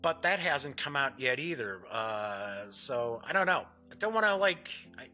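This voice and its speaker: A man's voice: medium pitch, big pitch swings, a little slow, sharp tone, soft background music. A man hosting an online gaming show, talking casually to viewers in a folksy, chatty, rambling way.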